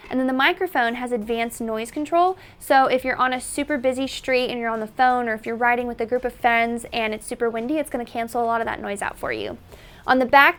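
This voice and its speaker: A woman presenting a product tutorial in an instructional, step-by-step manner. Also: fairly high pitch. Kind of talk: tutorial narration.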